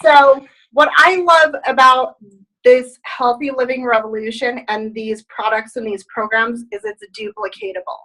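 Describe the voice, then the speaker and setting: A woman hosting a group video call, giving an enthusiastic, rallying testimonial pitch through a laptop webcam. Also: lively intonation, moderate pace, pushed and bright, rough recording.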